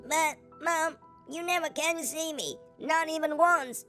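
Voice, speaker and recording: A woman's voice: high voice, a little slow, fairly bright tone. A woman voicing a sweet, earnest six-year-old boy in a manga dub. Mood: pleading, hurt. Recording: background music under the voice.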